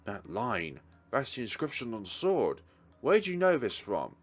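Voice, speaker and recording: A man's voice: mid-range voice, huge pitch swings, normal speed, faint music behind, voice pushed up. A man voicing a bewildered young male detective in a visual novel, urgent, questioning and defiant. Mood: agitated, defiant.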